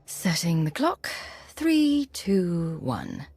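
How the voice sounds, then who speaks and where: A woman's voice: fairly low pitch, wildly swooping pitch, extremely slow, darkish tone, breathy edge, dry recording, exaggerated voice. A woman playing a composed, decisive spy commander in a video game, with a dry manner.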